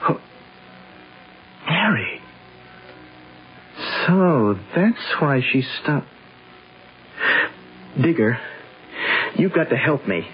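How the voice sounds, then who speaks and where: A man's voice: on the high side, very animated, extremely slow, pauses often, full character voice. An actor playing a stubborn, lovesick young American man in a radio drama, pleading and indignant. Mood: urgent, pleading. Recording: muffled, noisy old radio broadcast.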